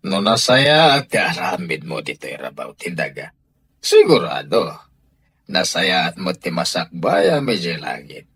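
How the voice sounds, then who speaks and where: A man's voice: low-pitched, very animated, measured pace, fairly bright tone, slightly rough, exaggerated voice. A man with an Ilocano accent playing a firm, sanctimonious husband and head of household in a radio drama. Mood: preachy.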